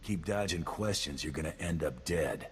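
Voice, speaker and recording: A man's voice: rumbling low, normal speed, slightly rough, full character voice. A man voicing a tough, laconic bounty hunter in a video game, terse and dryly menacing. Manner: terse, dry, threatening. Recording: long hall reverb, background noise.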